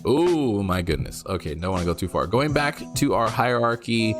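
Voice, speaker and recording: A man's voice: on the low side, normal speed. Man reacting to card art, music under. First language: not English. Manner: thinking aloud, rereads lines, sudden excited outbursts. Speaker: card game content creator. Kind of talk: casual commentary reacting to and reading an article.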